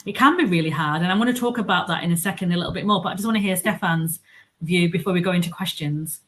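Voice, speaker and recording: A woman's voice: fairly low pitch, fast. A woman moderating a panel discussion, earnest and encouraging as she speaks to the founders.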